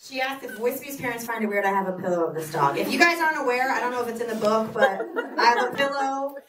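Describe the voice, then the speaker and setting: A woman's voice: fairly high pitch, fast talker. A young woman with a Southern US accent answering audience questions on a theater stage into a handheld mic, chatty and self-mocking, laughing often. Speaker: author at a book signing. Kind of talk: live audience Q&A. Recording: echoey hall, heard from far off. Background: crowd noise.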